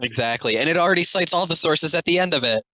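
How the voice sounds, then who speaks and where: This is a teenage boy in loose, casual chat with a friend, excitable and swearing freely as he jokes. His voice is bright and pushed forward. It sounds dull and lo-fi, as if from a cheap home mic in a dead room. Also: on the high side, fast, dry recording. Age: teens. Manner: excitable, laughing, swears often. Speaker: audiobook reader and channel host. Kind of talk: casual chat between readings.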